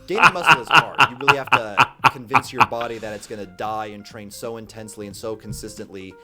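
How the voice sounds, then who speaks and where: Blunt, profane man with a bright, forceful voice. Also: lowish voice, a little fast, soft background music. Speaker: dating coach. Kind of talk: ranting monologue to camera.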